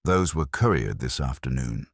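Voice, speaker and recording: A man voicing an anxious, well-bred wife in a detective story, husky, earnest and polite. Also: very deep voice, lively intonation, normal speed, no room sound, studio quality, full character voice. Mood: worried.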